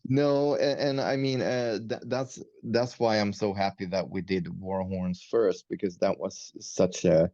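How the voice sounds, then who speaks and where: A man's voice: on the low side, fairly even intonation, unhurried, few pauses, warm tone, no echo, lo-fi sound. A man whose first language is Swedish, a metal musician chatting as an interview guest, hesitant with many fillers and restarts. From Sweden. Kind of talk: casual interview chat.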